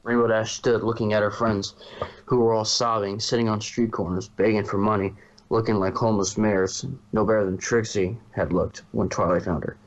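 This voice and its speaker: A man's voice: lowish voice, flat delivery, moderate pace, muffled recording. A man reading a story aloud as its narrator. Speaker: fan fiction reader.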